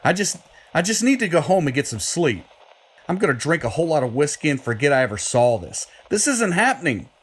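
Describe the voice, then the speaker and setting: An actor playing a troubled condemned killer who confesses in a plain-spoken, easily worked-up way, in a horror audio drama. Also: male voice, a little high, very animated, fairly quick, a little hiss or hum. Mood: shaken disbelief.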